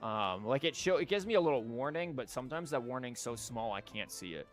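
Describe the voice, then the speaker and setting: A man is streaming a game with music playing faintly behind him. He talks casually in a medium-pitched voice, rambling along with wry, sarcastic commentary. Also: expressive, fairly quick. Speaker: livestreamer. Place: live stream from an indoor setup.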